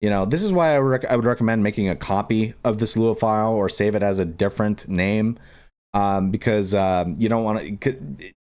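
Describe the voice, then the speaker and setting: A man's voice: lowish voice, normal speed, a little mellow. A man giving a casual screen-recorded game modding tutorial, speaking informally in a rambling way and repeating himself. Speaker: video tutorial host.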